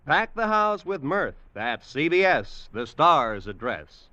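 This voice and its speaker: A man's voice: a little high, very animated, slow, sharp tone, no room sound. A man announcing a network promo in polished, emphatic 1950s American radio style. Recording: dull vintage radio recording.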